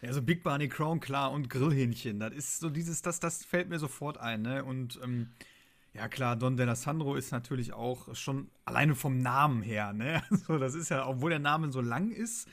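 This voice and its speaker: Man in his thirties, mid-range pitch. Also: moderate pace, fairly bright tone. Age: 30s. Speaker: podcast host. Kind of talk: casual podcast chat.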